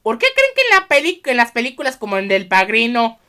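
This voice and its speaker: Man, Mexican accent, bright forceful voice, very varied intonation, informal podcast host, halting, repetitive. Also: very high voice, huge pitch swings, clear, resonant tone. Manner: halting, repetitive, often restarting sentences mid-phrase. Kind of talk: informal solo explainer, addressing viewers.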